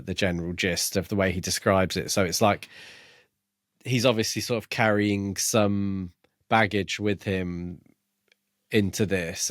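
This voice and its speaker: A man co-hosting a podcast, casually discussing a book in a tentative, halting way as he thinks aloud. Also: deep voice, dry recording, studio quality. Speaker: podcast co-host.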